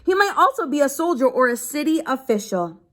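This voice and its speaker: A woman reading a children's book aloud like a teacher, pausing to explain things simply as if to young pupils. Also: high voice, big pitch swings, a bit bright. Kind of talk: read-aloud lesson with simple explanatory asides.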